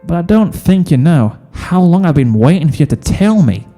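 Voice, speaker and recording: Fields: Figure: tender young country cowgirl acted by a man. Pitch: raised. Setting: reverberant. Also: sing-song, moderate pace, dark tone, soft background music. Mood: tender, reassuring. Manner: folksy Southern phrasing, affectionate. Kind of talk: fan fiction audio reading.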